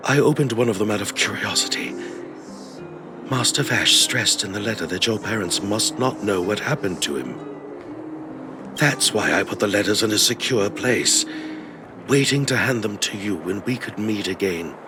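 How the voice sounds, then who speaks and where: A man's voice: fairly low pitch, lively intonation, normal speed, lightly acted. A man playing a loyal, humble old family retainer in a video game, speaking in a formal, deferential, kindly way. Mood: apologetic, earnest. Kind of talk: video game story voice acting. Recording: music behind the voice.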